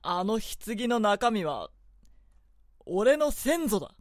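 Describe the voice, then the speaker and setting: A woman's voice: medium pitch, big pitch swings, slow, no room sound. A woman playing a haughty, short-tempered young prince in a radio drama, giving brusque, imperious orders. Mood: grudging explanation. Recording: studio drama with background music.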